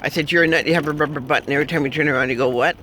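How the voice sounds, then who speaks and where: A man's voice: a little high, fairly even intonation, very fast, pushed and bright, a little hiss or hum. A man in his fifties chatting in a car in a rambling, joking way, chuckling and humming silly tunes. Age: 50s. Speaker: vlogger. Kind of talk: rambling casual chat.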